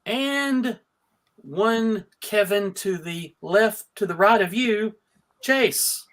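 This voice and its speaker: A man's voice: high-pitched, drawn-out words, a bit bright. A man hosting a casual panel, chatting and reminiscing with a wry, self-deprecating humor. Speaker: panel host. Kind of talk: casual chat, introducing and reminiscing.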